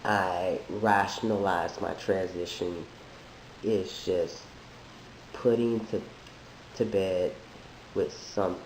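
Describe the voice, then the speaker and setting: A man who is sick, talking in a halting, thoughtful way with many restarts, in a reflective personal monologue. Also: low-pitched, fairly even intonation. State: sick, voice deeper than usual. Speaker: vlogger sharing a transition update. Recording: echoey room with faint hiss.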